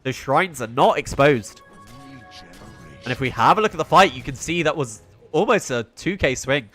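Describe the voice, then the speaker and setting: A man's voice: fairly high pitch, expressive, moderate pace, bright, forceful tone, faint music behind. British man casting a live esports match. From Britain. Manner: chatty, answers his stream chat between plays. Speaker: esports caster. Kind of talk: solo play-by-play commentary on a live stream.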